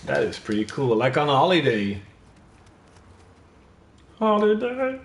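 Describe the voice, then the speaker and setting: A man's voice: a little high, wildly swooping pitch, measured pace, reverberant. A young Dutch man with a Dutch accent, casually commentating a game and chatting with viewers, swearing now and then. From Netherlands. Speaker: gaming streamer. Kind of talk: casual live commentary while playing.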